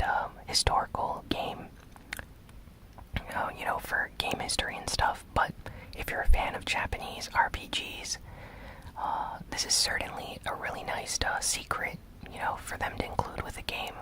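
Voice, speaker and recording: An ASMR host giving a gaming news recap in a breathy, unvoiced whisper with soft, deliberate pauses.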